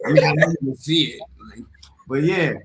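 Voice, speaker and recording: A man's voice: fairly high pitch, brisk, over a noisy crowd. A middle-aged man chatting casually in an emphatic, slangy, repetitive way. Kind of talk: casual, streetwise chat. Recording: roomy echo with faint noise.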